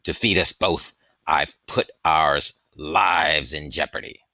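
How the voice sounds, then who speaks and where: A man's voice: rumbling low, fairly even intonation, takes it slowly, bright, forceful tone, dry recording, studio quality, exaggerated voice. A man voicing a big, boisterous, red-bearded old soldier and jester in a storybook, hearty, declamatory and mocking. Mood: wry. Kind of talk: storybook character voice in audiobook reading.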